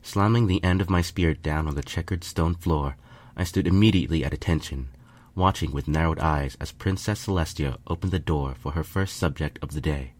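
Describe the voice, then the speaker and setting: A man's voice: rumbling low, brisk. A man reading a first-person fan fiction story as scripted narration.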